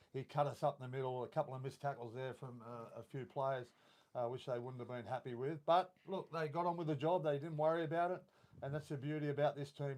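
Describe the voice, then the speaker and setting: An Australian man co-hosting a live sports podcast, with a croaky voice, talking passionately with lots of fillers. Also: medium pitch, a little mellow. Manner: passionate, rambling with many fillers. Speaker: podcast co-host. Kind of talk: casual sports chat.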